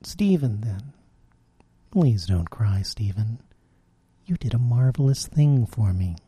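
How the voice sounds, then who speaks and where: A man voicing a lonely male enchanted frog who speaks gently and earnestly. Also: mid-range voice, very animated, slow, breathy edge, no room sound, heavily acted. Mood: gentle earnest.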